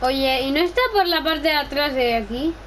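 A woman's voice: sits high, normal speed, a bit bright, slight background noise. A woman in casual chat while playing a video game with a partner, speaking in short, blunt, teasing remarks. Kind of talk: casual gaming chat with a co-player.

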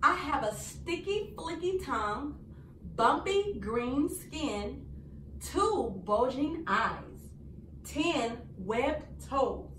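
A young woman reading a picture book aloud to young children in an animated, sing-song way with deliberate phrasing. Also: high voice. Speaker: children's storyteller. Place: small indoor room. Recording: roomy, distant mic, faint noise.

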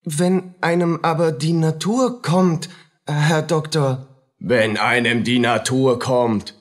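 An actor playing a harried, submissive poor soldier in a radio drama, agitated, halting and anxious. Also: male voice, on the high side. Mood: anxious, pleading.

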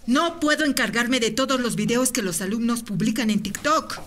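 Woman actor as evasive female principal, radio drama, reluctant, officious, sharp fairly high voice. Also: normal speed, natural voice. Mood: dismissive.

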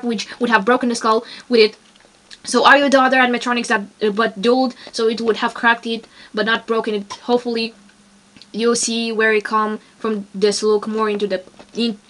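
A woman's voice: a little high, a bit bright, a little hiss or hum. A young woman answers viewer comments in a casual, rambling vlog, sounding exasperated and full of fillers. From Romania. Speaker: toy video maker answering comments.

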